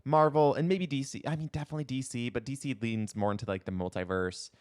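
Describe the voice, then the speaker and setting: A man co-hosting a casual podcast, giving his opinions in a halting, conversational way. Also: medium pitch, a little mellow. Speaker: podcast co-host.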